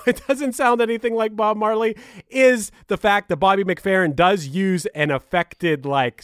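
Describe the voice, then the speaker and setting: Higher-voiced man laughing through his words. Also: no room sound, studio quality. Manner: animated, swears, laughs mid-sentence. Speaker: podcast co-host. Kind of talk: casual chat.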